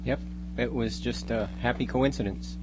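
Halting male guest over noticeable hum. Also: mid-range voice, steady tone. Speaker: guest, amateur scriptwriter. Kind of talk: casual interview answers. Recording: noticeable hum and background noise.

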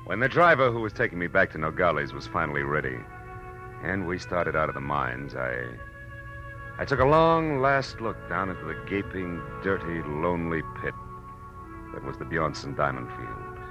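Deep-voiced hard-boiled American detective. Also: male voice, very animated, normal speed, highs cut off. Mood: reflective, weary. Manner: terse, cynical, tough-talking. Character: hard-boiled, world-weary male private detective narrator. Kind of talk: 1940s radio drama acting, noir narration. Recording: band-limited old radio recording with music.

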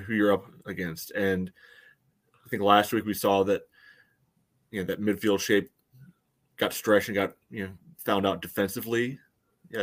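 Male sports podcast panelist, lowish voice, casual analysis, measured and hedging delivery.

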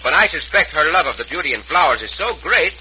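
An actor playing a gallant, hot-tempered Mexican adventurer in a radio western, with a Mexican accent and Spanish words mixed into courtly English. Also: male voice, fairly high pitch, sing-song, fast, pushed and bright, heavily acted. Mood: gentle, earnest. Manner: courtly phrasing sprinkled with Spanish. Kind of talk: old-time radio western acting. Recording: muffled, hissy vintage radio recording.

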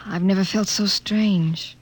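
Reserved futuristic scientist, breathy husky tone, formal, hesitant, old-time radio drama. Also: female voice, mid-range voice, steady tone, a little slow. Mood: uneasy, wondering. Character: reserved futuristic scientist discovering unfamiliar emotion. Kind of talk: classic radio science fiction drama acting.

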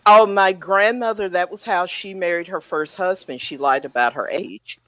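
Low-voiced woman telling an anecdote. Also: normal speed, fairly bright tone, dry recording, low-quality recording. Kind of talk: casual group chat, telling an anecdote.

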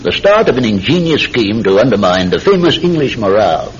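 An actor playing an evasive, arrogant villainous doctor in a melodramatic radio drama. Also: male voice, fairly low pitch, sing-song, fairly quick, slight background noise. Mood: smug, sinister. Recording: dull radio sound with music.

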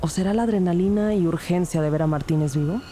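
A woman's voice: lowish voice, fast, background noise, lightly acted. An actress playing an anxious, determined police detective who narrates her case in a dramatic, suspenseful crime audio drama. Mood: tense, narrating. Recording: loud music behind the voice. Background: dramatic music.

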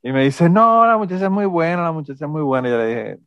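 A man's voice: a little high, big pitch swings, fast talker, clear, resonant tone, no echo, muffled recording. A man with a Puerto Rican accent chatting casually, joking and swearing loosely as he tells stories. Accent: Puerto Rican Spanish. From Puerto Rico. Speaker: former university instructor. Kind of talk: casual chat.

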